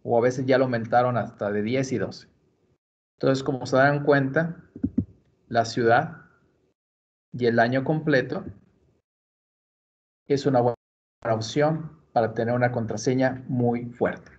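Slow, patient man teaching a screencast. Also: medium pitch, fairly even intonation. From Mexico. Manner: slow, patient, step-by-step explaining. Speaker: library computer instructor. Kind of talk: screen-recorded tutorial. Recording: dull lo-fi screencast audio.

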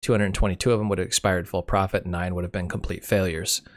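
A man's voice: sits low, flat delivery, fast. A man with a cold and a fading voice, casually walking through a screen share off the cuff, correcting himself as he goes. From Michigan. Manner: unscripted, self-correcting, dry humor. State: has a cold, losing his voice. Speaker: channel host.

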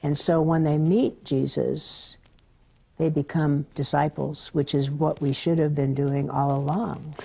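Older woman, TV interview guest; low, gravelly, husky voice, earnest and affirming. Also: little pitch movement, unhurried, dark tone. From Southern California. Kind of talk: television interview. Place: studio set, indoors.

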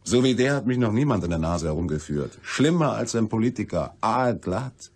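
An actor playing a cynical, flippant newspaper reporter in a crime radio play, with sardonic delivery. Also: male voice, lowish voice, sing-song, normal speed. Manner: sardonic, dry wisecracking.

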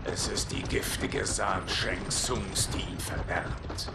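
An actor playing a calm, grave protector of the realm, speaking in a measured, earnest way in dramatic game dialogue. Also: male voice, low-pitched, vocal fry, very noisy, heavily acted. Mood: grave, resolute. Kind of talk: dramatic dubbed video game acting.

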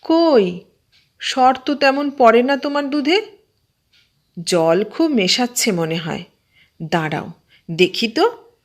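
A sharp-witted young woman, as a woman narrator puts her on, talks briskly and firmly, ready to scold. Her voice sits slightly above the storyteller's, with an even, unhurried storybook delivery. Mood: indignant. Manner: brisk, scolding, raised pitch. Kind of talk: audiobook character voice.

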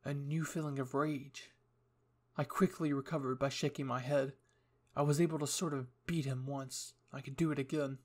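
A man's voice: medium pitch, steady tone, normal speed. A young man reading scripted material as the narrator and host of a story channel.

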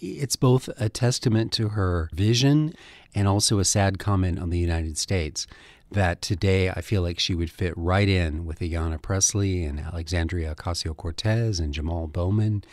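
Measured, low-voiced radio host. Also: male voice, darkish tone, no echo. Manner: measured, deliberate delivery. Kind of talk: scripted radio introduction and interview. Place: radio studio.

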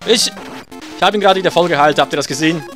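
A man with a somewhat high voice narrates his gameplay in a casual, sarcastic way, grumbling and cursing at his mistakes. Faint game music plays under his voice. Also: very fast, a bit bright. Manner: sarcastic, swears when hit. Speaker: let's play gamer.

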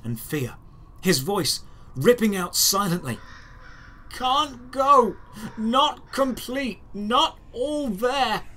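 A man reading dramatic first-person fantasy narration as a storyteller, measured, with deliberate pauses. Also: a little high, fairly bright tone, breathy edge. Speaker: writer and narrator.

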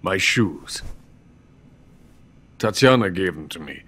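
An actor playing a bitter, proud, drunken older Soviet weapons scientist in a video game, with a brooding, theatrical delivery. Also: male voice, low voice, animated, slow, sharp tone, breathy edge, heavily acted. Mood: earnest. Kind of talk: dramatic video game cutscene acting. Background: music.

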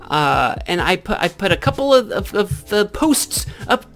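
A man's voice: high-pitched, sing-song, measured pace, a bit bright, faint music behind. A man in his twenties with a Midwestern American accent, chatting casually to camera as a channel host in a rambling, self-correcting way. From Illinois, United States. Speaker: YouTube gaming channel host. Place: small indoor room with green screen backdrop. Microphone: desk microphone with pop filter, close.

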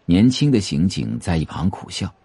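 A man narrating a novel for an audio drama in a measured, atmospheric storytelling manner. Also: deep voice, big pitch swings, warm tone, slightly rough, no echo.

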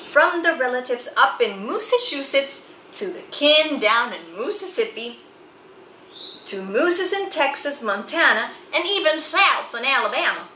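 Animated woman reading a children's story. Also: high voice. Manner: theatrical, deliberate, emphatic phrasing. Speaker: picture book reader. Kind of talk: expressive children's read-aloud storytelling. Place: small indoor room with bookshelves and chalkboard. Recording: roomy sound with faint background noise.

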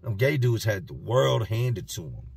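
A man in his thirties with a raspy voice, vlogging bluntly from a parked car. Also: lowish voice, big pitch swings, a little slow, a little mellow. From Virginia, US. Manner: blunt, plain-spoken street talk. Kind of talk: casual talking-head vlog. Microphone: phone camera at arm's length.

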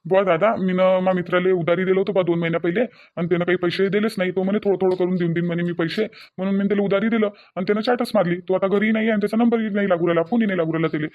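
A man plays a naive young cartoon son who keeps explaining himself with a whiny defensiveness. His voice is rather high and very fast, with a Varhadi Marathi accent. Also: steady tone, highs cut off. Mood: defensive.

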